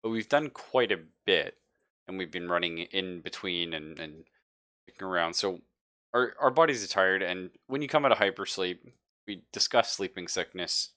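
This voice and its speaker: A man's voice: low-pitched, normal speed, sharp tone. A man running a tabletop roleplaying game as game master, narrating casually. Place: live online game session.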